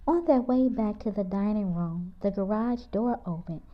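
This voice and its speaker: A woman's voice: normal speed, slight background noise. A woman storyteller reading a children's picture book aloud with expression. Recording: muffled, low-fidelity audio.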